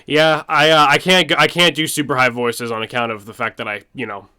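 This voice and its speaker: A woman's voice: rumbling low, level tone, a little fast, pushed and bright, clean tone. A young adult woman, chatting casually as a live streamer in a rambling, sweary way. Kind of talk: casual chat.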